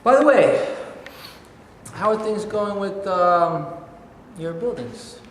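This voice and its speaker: An actor in a staged play reading, playing a pushy, persuasive middle-aged uncle who hesitates with fillers. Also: male voice, high-pitched, expressive, takes it slowly, slight background noise. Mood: coaxing. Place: large rehearsal studio. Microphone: far camera. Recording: roomy echo from a far camera.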